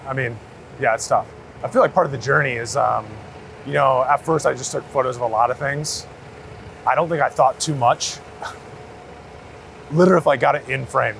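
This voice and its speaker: Male interviewee, creaky voice, loose slangy storyteller, recorded outside with light traffic noise. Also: medium pitch, moderate pace, pauses often, fairly bright tone, rough recording. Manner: slangy, anecdotal, hedging with "like" and "you know". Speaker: street photographer being interviewed. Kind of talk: casual chat. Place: outdoors on a busy city street. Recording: city street noise, traffic around.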